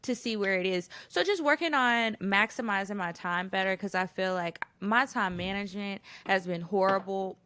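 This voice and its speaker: Bright, forward American woman podcasting. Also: medium pitch, moderate pace, hardly any pauses, smooth, no room sound. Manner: candid, slangy, girlfriend-to-girlfriend delivery. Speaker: podcast co-host. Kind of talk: casual, chatty podcast talk.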